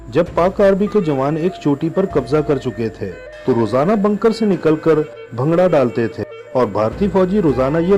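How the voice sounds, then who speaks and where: Animated Pakistani man, higher-pitched voice. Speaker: news channel host. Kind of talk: scripted news commentary over video. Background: music bed.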